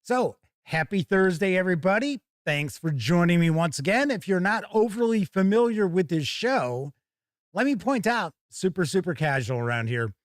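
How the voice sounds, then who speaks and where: A man's voice: sits high, expressive, moderate pace, dry recording, clean studio sound. A man hosting a casual live stream with folksy, hearty showman patter. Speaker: host of a tabletop gaming live stream.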